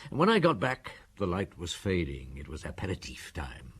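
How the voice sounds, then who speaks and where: An actor playing a shrewd, easygoing middle-aged police inspector who questions people in a calm, probing, dryly amused way and narrates a radio drama. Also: male voice, sits low, normal speed, husky, heavily acted. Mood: narrating. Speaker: Inspector Maigret.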